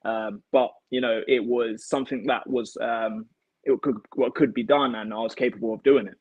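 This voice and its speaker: A man's voice: mid-range voice, steady tone, normal speed, husky, no room sound, narrow, tinny audio. A young British man chatting casually as an interview guest, telling his own story on a video call. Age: young adult. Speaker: guest, elite martial arts athlete. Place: home kitchen, indoors. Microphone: device mic on a video call.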